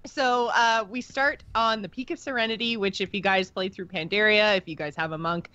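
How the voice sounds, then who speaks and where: A woman's voice: mid-range voice, normal speed, sharp tone, no room sound, muffled recording. A woman co-hosting a podcast, talking through game lore in a chatty, informal, enthusiastic way. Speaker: podcast co-host. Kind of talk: casual discussion of video game lore.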